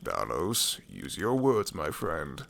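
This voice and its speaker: A man voicing an older, gruff but jovial leader of travelers, teasing and self-assured, in a fantasy audio roleplay. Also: extremely low pitch, wildly swooping pitch, takes it slowly, fairly bright tone, pitched below the performer's own voice, exaggerated voice.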